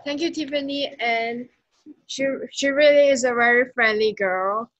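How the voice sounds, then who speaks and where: A woman's voice: fairly high pitch, a little slow, a bit bright, no room sound, muffled recording. A woman hosting an online event, cheerfully thanking one speaker and welcoming the next. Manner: cheerful announcing. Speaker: event host.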